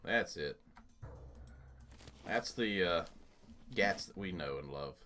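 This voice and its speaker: A man with a fairly low, lively voice hosts a let's play, talking casually and jokingly, often half to himself. His pitch moves around a lot. There is clear background noise with keyboard clatter. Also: slow pace. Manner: jokey running commentary, muttering to himself. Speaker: gaming host.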